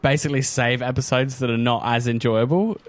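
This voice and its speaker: Mid-pitched man, halting casual chat. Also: steady tone. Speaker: podcast panelist.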